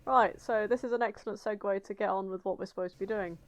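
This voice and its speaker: A woman's voice: medium pitch, fairly even intonation, fast. A woman co-hosting a podcast with blunt, sardonic casual banter. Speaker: podcast co-host.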